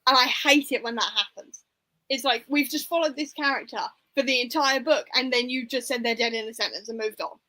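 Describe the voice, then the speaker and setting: A woman's voice: fairly high pitch, brisk, sharp tone, low-quality recording. A woman hosting a casual book discussion, speaking informally and giving her opinions with plenty of hedging. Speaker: book discussion livestream host.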